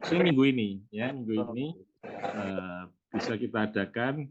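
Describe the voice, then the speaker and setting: A man's voice: fairly low pitch, lively intonation, moderate pace. A man who is a professor, chairing an online meeting, hesitant and asking many questions over a video call. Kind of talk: casual online meeting discussion. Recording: muffled noisy call audio.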